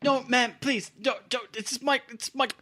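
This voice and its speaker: A man's voice: extremely high pitch, fairly even intonation, unhurried, over loud music, voice pushed up. A man voicing a panicky young tabloid photographer in a tabletop game, pleading in a whiny, stammering way.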